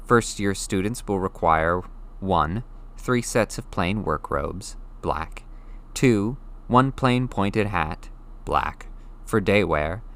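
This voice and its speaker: A man's voice: sits low, expressive, takes it slowly, a bit bright. A man reading a children's novel aloud as scripted audiobook narration.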